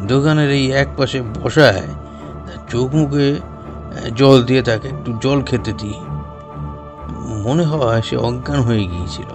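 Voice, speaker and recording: A man playing a humble, nervous umbrella repairman in an audio drama, speaking deferentially and haltingly. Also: medium pitch. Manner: hesitant, deferential, halting with drawn-out pauses. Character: humble, nervous street umbrella repairman recounting what he saw. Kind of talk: audio drama character acting. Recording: music behind the voice.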